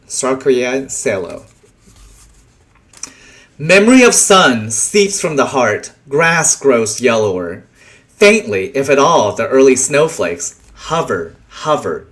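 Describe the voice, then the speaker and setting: A man reading translated poems aloud in a deliberate way, pausing between short phrases. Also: medium pitch. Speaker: poetry reader.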